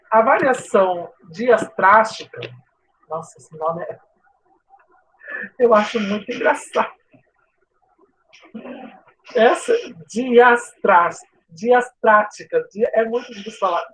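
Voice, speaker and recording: A Portuguese teacher from São Paulo's coast, with its sibilant S, gives a chatty live online class full of tag questions and restarts. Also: female voice, medium pitch, slow pace, long pauses, slight background noise, poor audio. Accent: Brazilian Portuguese, coastal São Paulo. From São Paulo state coast, Brazil. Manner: informal, chatty, frequent "né?" tags and restarts.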